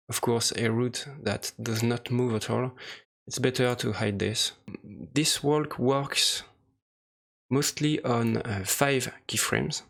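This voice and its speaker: A man presenting an unscripted software tutorial, speaking hesitantly in short, halting phrases. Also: mid-range voice, vocal fry. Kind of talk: unscripted screen-recorded tutorial walkthrough. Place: voice-over for a screen recording.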